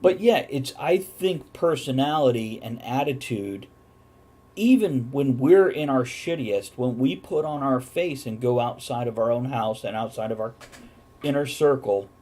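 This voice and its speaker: A man's voice: mid-range voice, measured pace, a little mellow. A man hosting a casual chat show, talking bluntly and swearing freely as he banters. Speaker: host of a talk show.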